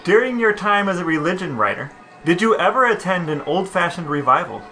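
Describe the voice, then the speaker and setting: Bright, forceful male podcaster. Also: high-pitched, big pitch swings, fairly quick, faint music behind. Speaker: podcast host. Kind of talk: casual conversational podcast talk.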